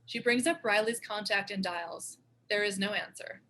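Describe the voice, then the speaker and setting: A woman's voice: medium pitch, moderate pace, fairly bright tone, a little hiss or hum. A woman narrating the stage directions of a screenplay in a scripted read.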